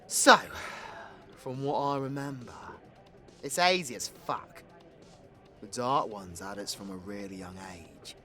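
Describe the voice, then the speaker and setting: A man's voice: medium pitch, a little slow, pauses often, sharp tone, soft background music. A British man voicing a cocky, foul-mouthed supervillain in an audio roleplay, with a crude, theatrical and menacing manner. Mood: wistful, subdued. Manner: swaggering, crude, theatrical, dropping into menacing whispers. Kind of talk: ASMR audio roleplay acting.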